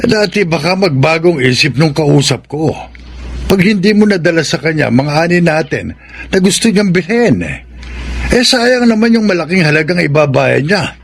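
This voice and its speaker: An actor playing a kindly, cautioning old Filipino grandfather in a radio drama, with an aged, creaky voice. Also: fairly high pitch, expressive, normal speed, slight background noise. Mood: gentle, advising.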